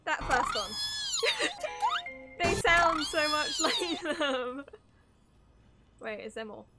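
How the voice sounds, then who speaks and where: High-pitched, giggly, excitable woman streamer. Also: extremely slow, over loud music. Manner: giggly, excitable, easily sidetracked. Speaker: livestreamer reacting to a video game. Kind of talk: casual excited chat while gaming.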